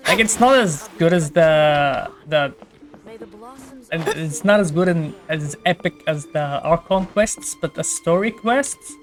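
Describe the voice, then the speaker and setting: A woman's voice: low-pitched, slow pace. A woman giving casual commentary as she plays through a video game. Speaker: gaming streamer. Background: game music.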